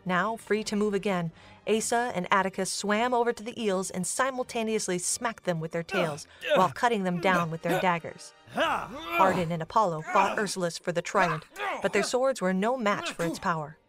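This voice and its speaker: A woman's voice: medium pitch, lively intonation, moderate pace. A woman narrating a scripted dramatic story as the narrator of an audio drama. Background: music.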